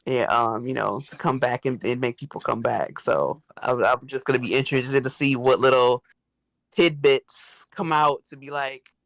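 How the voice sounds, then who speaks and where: A woman's voice: very deep voice, fairly even intonation, moderate pace, no echo. A woman chatting casually as a guest, thinking aloud hesitantly and acting out her own reactions as quotes. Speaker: guest, writer and fan. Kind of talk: casual chat.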